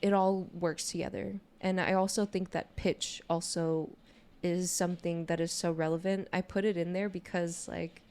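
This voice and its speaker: A young adult woman with a naturally low voice chats casually on a podcast, speaking in a candid, informal way peppered with "you know". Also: flat delivery, moderate pace, darkish tone, clear, resonant tone. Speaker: podcast co-host. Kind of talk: casual conversational podcast chat.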